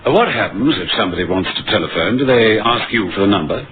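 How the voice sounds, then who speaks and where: Dogged police inspector, very animated delivery. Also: male voice, on the low side, fast, breathy edge. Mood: measured. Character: dogged middle-aged male police inspector on a murder case. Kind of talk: 1950s radio drama acting with narration. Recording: muffled, noisy vintage radio broadcast.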